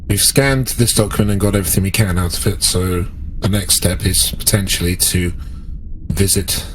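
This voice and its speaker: A man roleplaying a starship officer in a tabletop game, measured and matter-of-fact. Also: low-pitched, slight background noise, low-quality recording.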